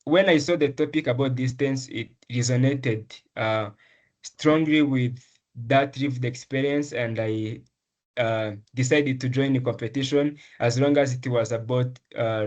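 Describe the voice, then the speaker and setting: A man's voice: mid-range voice, a little mellow. Earnest young man from Rwanda. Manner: earnest, measured, with frequent ums and restarts. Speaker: medical student, essay prize winner. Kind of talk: interview answers.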